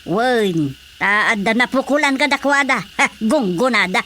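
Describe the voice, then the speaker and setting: A woman's voice: on the high side, lively intonation, unhurried, bright, forceful tone, a little hiss or hum. A woman with an Ilocano accent playing a fretful, chatty village mother and wife in a radio drama. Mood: nagging, teasing.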